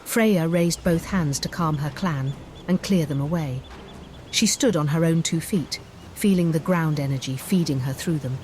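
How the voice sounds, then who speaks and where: A woman storyteller reading scripted fantasy narration in a measured, theatrical way. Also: sits low, a little mellow, slight background noise. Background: music bed.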